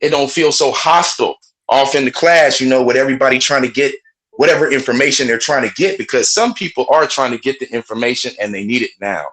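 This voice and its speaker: A man with a strong, loud voice, speaking passionately on a group phone call. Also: medium pitch, fast talker. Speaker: webinar participant. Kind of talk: casual group call talk.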